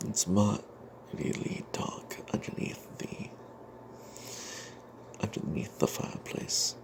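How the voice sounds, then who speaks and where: A man telling an off-the-cuff fantasy game story in ASMR style, breathy and half-whispered, halting, with playful old-fashioned flourishes. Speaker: storyteller narrating a tabletop game tale.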